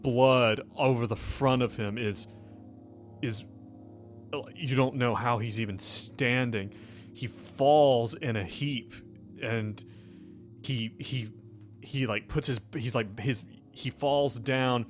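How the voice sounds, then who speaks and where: A man's voice: mid-range voice, slow, faint music behind. A man improvising narration as the game master of a tabletop roleplaying game.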